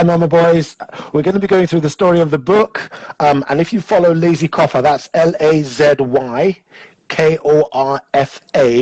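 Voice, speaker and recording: A man hosting a casual livestream interview, relaxed and chatty with plenty of fillers. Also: fairly high pitch, no echo, muffled recording. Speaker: host, interviewer. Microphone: video call or phone mic.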